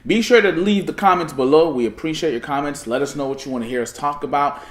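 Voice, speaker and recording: A man's voice: fairly high pitch, fast. A young man from Haiti co-hosting a casual chat show with his wife, teasing and exaggerating for laughs. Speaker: podcast co-host. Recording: echoey room.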